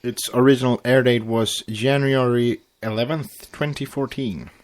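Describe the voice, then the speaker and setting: A male podcast co-host with a mid-range voice. He speaks casually and a bit haltingly, circling back over his words as he chats or reads out notes. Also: medium pitch, steady tone. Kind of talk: casual fan podcast chat, partly reading episode notes aloud.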